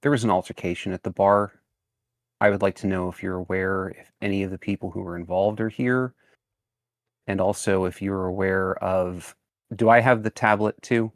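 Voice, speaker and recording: A man's voice: low-pitched, steady tone, normal speed, no echo. A man playing a tabletop roleplaying game, speaking in a formal, matter-of-fact manner. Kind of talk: measured in-character roleplay.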